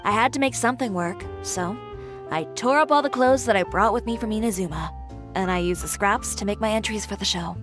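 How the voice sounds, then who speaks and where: A woman's voice: mid-range voice, lightly acted. A woman voicing a proud, determined young fashion designer, composed and firm, with game music behind. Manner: composed, dry, firm and self-assured. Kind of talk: video game voice acting.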